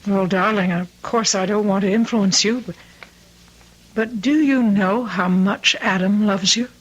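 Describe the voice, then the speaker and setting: A woman's voice: medium pitch, measured pace, breathy edge, muffled recording. Casting: anxious mother of a grown daughter, middle-aged, mid-range voice, earnest and fussing, vintage radio play. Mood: gentle, probing. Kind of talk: old-time radio drama acting.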